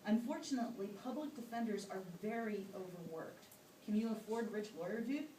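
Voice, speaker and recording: A woman's voice: mid-range voice, brisk, dark tone, reverberant, distant mic, a little hiss or hum, lightly acted, rough recording. A woman voicing a knowing, cynical ghost who explains things matter-of-factly in a puppet show. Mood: knowing, resigned. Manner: lecturing, explanatory.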